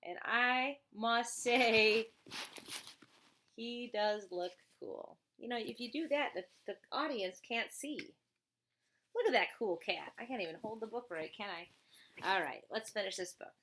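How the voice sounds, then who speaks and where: A woman with a fairly high, bright voice reads a storybook aloud to a child at an unhurried pace. She is playful and chatty, in the manner of a teacher. She slips easily between the text and casual questions to her young listener. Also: a little hiss or hum. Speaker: teacher reading a picture book aloud to a child.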